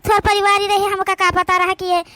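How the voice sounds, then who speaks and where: A woman's voice: very high voice, little pitch movement, brisk, noisy background. A woman with an Awadhi accent voicing a weary, ailing old village mother-in-law in a cartoon. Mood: complaining. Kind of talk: amateur cartoon voice acting.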